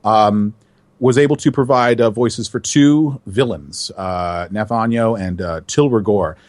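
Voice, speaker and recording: A man who works as a voice actor answers interview questions in a chatty, animated way and laughs easily. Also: lowish voice, a little slow, no echo. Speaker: voice actor, guest.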